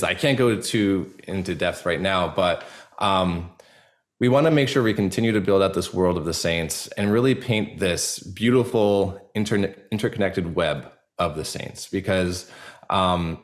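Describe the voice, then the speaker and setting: A man speaking as a guest in a casual interview, earnest and halting, often repeating words and leaning on fillers. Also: deep voice. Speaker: podcast guest, actor and storyteller.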